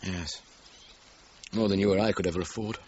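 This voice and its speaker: An actor with a British accent playing a shrewd, probing chief inspector in a radio crime drama, questioning in a pointed, methodical way. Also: male voice, deep voice, steady tone, normal speed, darkish tone, highs cut off, slight background noise, lightly acted. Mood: measured.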